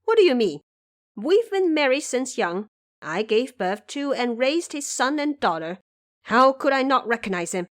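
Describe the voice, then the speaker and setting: Woman acting loud, high, indignant young princess. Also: big pitch swings, normal speed, no echo, studio quality. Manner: loud, protesting, demanding. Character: shrill, indignant young princess possessed by a commoner wife's soul. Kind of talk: audio drama character acting.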